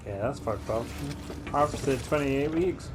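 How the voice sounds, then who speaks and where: A man's voice: mid-range voice, measured pace. A man giving casual gameplay commentary as the host of the video. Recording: clear game noise behind voice.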